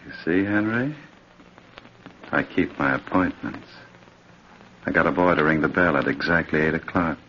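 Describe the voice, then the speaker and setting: Deep-voiced vengeful man, old radio. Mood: gloating menace. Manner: menacing, deliberate. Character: cold, vengeful man savoring revenge. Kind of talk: 1950s radio drama acting. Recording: muffled, noisy old radio recording.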